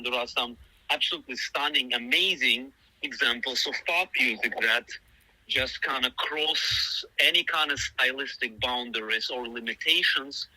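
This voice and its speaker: A middle-aged man whose first language is Russian talks through a question at length, circling back with fillers and restarts. His voice sits at a middle pitch with a sharp, bright edge. The recording is close and very dry. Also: no echo, poor audio. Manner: thoughtful, rambling, many fillers and restarts. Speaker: musician guest. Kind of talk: casual interview answers.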